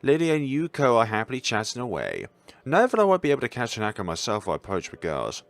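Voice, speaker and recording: A man's voice: mid-range voice, huge pitch swings, quick pace. A man hosting a visual novel playthrough, reading the narration expressively and adding reflective commentary. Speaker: gaming video host.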